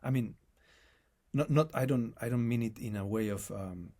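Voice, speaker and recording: A man with a non-native accent, speaking as an interview guest in a reflective, halting way and often restarting his phrases. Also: mid-range voice, a little mellow. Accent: non-native English accent. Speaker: guest musician. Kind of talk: casual conversation.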